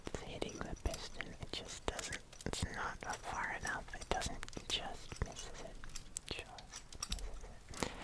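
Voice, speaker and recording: An ASMR performer playing a kindly, patient locksmith, murmuring gently and unhurriedly to the listener, close to the microphone. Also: mid-range voice, poor audio. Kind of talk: whispered ASMR roleplay.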